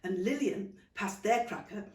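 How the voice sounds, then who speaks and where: A woman author reading her own children's story in animated storybook narration. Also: medium pitch, a little slow. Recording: roomy, distant microphone.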